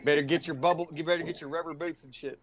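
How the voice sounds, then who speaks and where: A man's voice: a little high, fairly even intonation, rapid-fire. A man joking and teasing casually on a group video call. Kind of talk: casual banter. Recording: overlapping chatter on video call.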